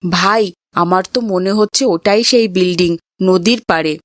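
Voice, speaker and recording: A woman's voice: lowish voice, unhurried, no echo, clean studio sound, natural voice. A woman playing an earnest, principled young boy in a cartoon. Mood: resolute. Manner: earnest, righteous. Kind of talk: cartoon voice acting.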